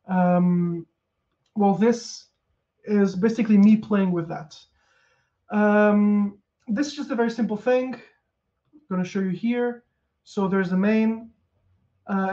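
A Portuguese man in his thirties gives a relaxed technical talk from a small room at home, speaking into a headset and filling gaps with ums and uhs. His voice is mellow and warm. The sound is dull and lo-fi, like audio from a video call. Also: high voice. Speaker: conference speaker.

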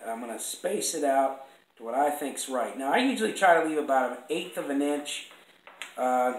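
Hoarse man in an echoey workshop. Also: medium pitch. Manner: rambling, self-correcting, apologetic asides. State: hoarse from a cold. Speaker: woodworking instructor. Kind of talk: casual workshop demonstration. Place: indoor woodworking shop. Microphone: fixed camera across the workbench. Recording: echoey shop room.

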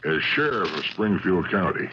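An actor playing a folksy, unbending American county sheriff in a 1970s radio drama, deadpan, on a crackly recording. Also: male voice, deep voice, normal speed, highs cut off, exaggerated voice. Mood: dry, stern.

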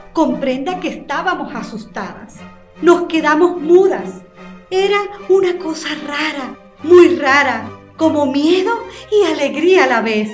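A woman playing a frightened, earnest witness in a radio courtroom drama, nervous and deferential. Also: high-pitched. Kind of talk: dramatic radio play acting. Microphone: studio microphone. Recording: roomy sound with music behind.

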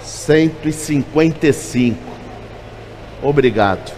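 Fast-chanting Brazilian auctioneer man. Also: on the high side, darkish tone, slight background noise, low-quality recording. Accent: Brazilian Portuguese. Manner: rattles off bids in fast repetitive chant, calling out raises. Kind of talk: rapid vehicle auction calling.

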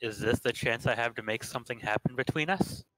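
A man's voice: mid-range voice, a little fast, bright, forceful tone, dry recording, soft background music, low-quality recording. A man reading visual novel narration aloud expressively, with playful ad-libs.

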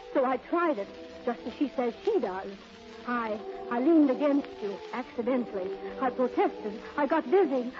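A coy, excitable young secretary in a 1930s radio play. Her voice is high and girlish. The old recording is muffled and lo-fi.

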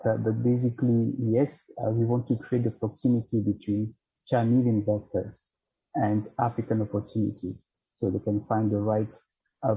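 Panelist, forties, French native speaker, very husky voice, measured and halting, dull webcam sound. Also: male voice, lowish voice. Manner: measured, with frequent fillers and restarts. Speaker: financial centre head on a panel. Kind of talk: panel discussion answer. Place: home or office room, indoors. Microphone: webcam on a video call. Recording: dull video call audio.

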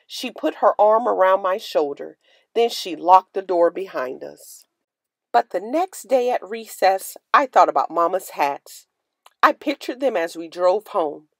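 A woman narrating a children's book aloud in an expressive, carefully enunciated storytelling style. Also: mid-range voice, a little slow, gravelly, dry recording, clean studio sound.

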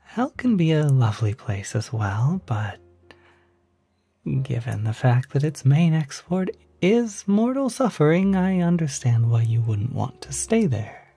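A performer voicing a courteous, eager demon hotel receptionist in a soft, whispery, reassuring ASMR roleplay. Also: medium pitch, big pitch swings, unhurried, husky, heavily acted. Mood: gently reassuring. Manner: polite, reassuring, salesmanlike.

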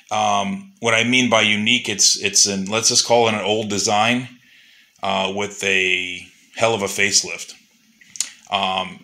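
A man's voice: on the low side, bright, forceful tone. A man who makes custom lures, talking loosely to his customers in a workshop with lots of fillers. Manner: loose and chatty, many fillers. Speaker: custom lure maker. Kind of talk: casual shop update to customers. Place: indoor workshop.

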